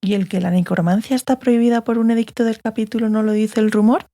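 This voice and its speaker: An actress playing a stern, sardonic sorceress, curt and authoritative, in a dramatized fantasy audiobook. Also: female voice, on the high side, fairly even intonation, fast talker, mellow, lightly acted. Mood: dry, assured. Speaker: audiobook actor.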